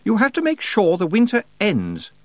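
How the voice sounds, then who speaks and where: A man performs a proper, bookish witch woman in a high voice with lively rises and falls. She speaks carefully and haltingly, like a storybook schoolmistress consulting a text. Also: no echo. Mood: matter-of-fact.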